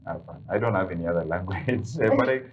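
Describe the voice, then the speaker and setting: Deep-voiced Kenyan man, fast and chatty. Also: dull audio. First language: Kikuyu. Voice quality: deep, manly voice. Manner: laughs often, quick and chatty. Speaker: voice-over artist, studio guest. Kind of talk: casual chat, mixing English and Swahili. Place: TV studio.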